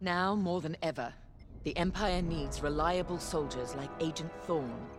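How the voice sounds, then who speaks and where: A stern female officer in a sci-fi video game. Her voice sits fairly low and she delivers crisp, authoritative orders with formal severity. Faint music plays behind her. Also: a little slow. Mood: stern, resolute. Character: stern, commanding female Imperial military officer, loyal and severe. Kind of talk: video game briefing, dramatic acting.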